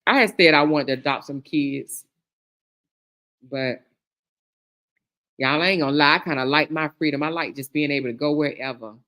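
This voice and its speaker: A woman's voice: deep voice, moderate pace, pauses often, fairly bright tone. A middle-aged woman hosting a live stream, reading viewer comments aloud and reacting in a chatty way, calling people girl, honey and child. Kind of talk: casual chat.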